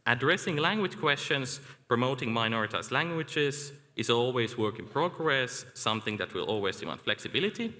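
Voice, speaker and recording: Bright-voiced man lecturing in a hall. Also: medium pitch, lively intonation. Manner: measured, lecturing with filler "like". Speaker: academic speaker. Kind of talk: conference presentation. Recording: echoey hall.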